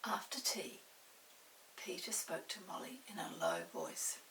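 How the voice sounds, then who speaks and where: A woman's voice: on the low side, wildly swooping pitch, unhurried, fairly bright tone, whispered. An older woman, a grandmother hosting storytime, reading to children with simple explanations and chatty questions. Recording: hissy, distant room microphone.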